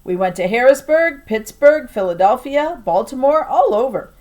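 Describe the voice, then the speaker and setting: A woman's voice: high voice, expressive, moderate pace, darkish tone, voice pushed up. A woman voicing a weary, firm young mother in a children's novel, guarded and mildly ordering as she talks to her boy.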